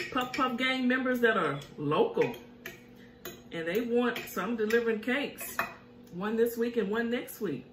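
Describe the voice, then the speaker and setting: A middle-aged woman, chatting casually as she eats and hums over her food while hosting a home cooking show. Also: medium pitch. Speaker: mukbang cooking show host. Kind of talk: casual chat while eating. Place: home kitchen, indoors. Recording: echoey room with faint hiss.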